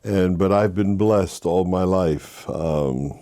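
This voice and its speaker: An older man from Haiti, a guest telling his life story with earnest faith, with halting restarts. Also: deep voice, dark tone, no echo, studio quality. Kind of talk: interview, personal story.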